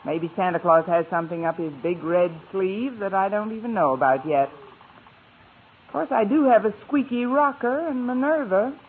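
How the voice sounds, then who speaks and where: An actress playing a wry, soft-hearted single woman with a dry wisecracking manner in an old radio comedy. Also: mid-range voice, normal speed, husky, lightly acted. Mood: wistful, wry. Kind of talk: 1940s-50s radio comedy acting. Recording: tinny noisy old radio recording.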